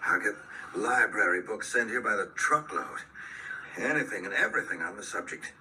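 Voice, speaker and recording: An actor playing an earnest, resolute older schoolteacher in a radio drama, with precise, formal phrasing. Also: male voice, on the low side, animated, moderate pace, pushed and bright. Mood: earnest, brisk. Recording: distant replayed radio drama, faint hiss.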